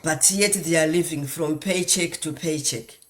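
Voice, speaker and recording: A woman's voice: low-pitched, a little slow. A woman from Zimbabwe giving an earnest motivational talk as a guest speaker, asking rhetorical questions and stressing lists of points. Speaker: guest speaker, nurse and business mentor. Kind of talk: motivational talk on a group call.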